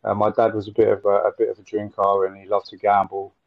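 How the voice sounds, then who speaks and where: Forties man, low-pitched, faltering delivery, muffled dry call audio. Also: monotone, gravelly, no room sound. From Nottingham, England. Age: 40s. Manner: halting, repeats words, frequent fillers. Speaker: interview guest. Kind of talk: casual storytelling interview. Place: room at home with window blinds. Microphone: video call.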